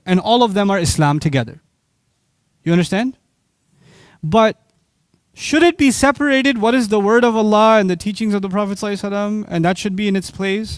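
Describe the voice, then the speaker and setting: A high-pitched man delivers a lively religious lecture, firing rhetorical questions at his listeners and answering them himself. His voice sits close and dry, with no room around it. He talks with quick asides and sudden jumps in pitch when he makes a point. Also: no room sound. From Pakistan. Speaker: Islamic speaker. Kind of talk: lecture to a live audience.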